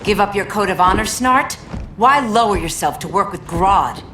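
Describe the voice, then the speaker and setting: A woman's voice: mid-range voice, lively intonation, moderate pace, slight background noise, lightly acted. A woman voicing a proud warrior princess in a stern, commanding manner. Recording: game audio over loud music.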